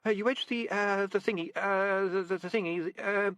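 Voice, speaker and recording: A man's voice: sits high, steady tone, normal speed, fairly bright tone, dry recording, pitched above the performer's own voice. A man voicing a timid young female rat trainee who stammers in panic. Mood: panicky.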